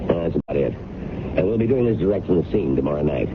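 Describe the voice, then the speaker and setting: A genial producer running the show in an old radio drama. His voice is very deep and gravelly, with an easygoing, conversational manner. It comes through a tinny, very noisy vintage recording. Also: lively intonation, quick pace. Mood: easygoing, businesslike. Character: genial American male broadcast producer, in charge.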